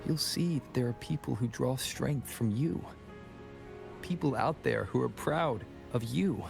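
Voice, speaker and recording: A resolute gravelly hero. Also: male voice, mid-range voice, measured pace. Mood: earnest. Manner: earnest, steady, reassuring. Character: resolute male hero encouraging a companion. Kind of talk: game cutscene voice acting. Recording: with game music.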